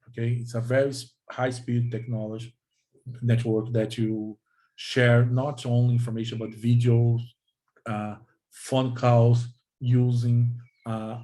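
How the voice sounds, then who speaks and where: A male lecturer with a Brazilian accent and Portuguese as his first language explains technical material. His voice sits at an average pitch. He proceeds haltingly in non-native English, restating phrases and pausing to check that listeners follow. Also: mellow, rough recording. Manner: halting, repeats and checks with "okay?".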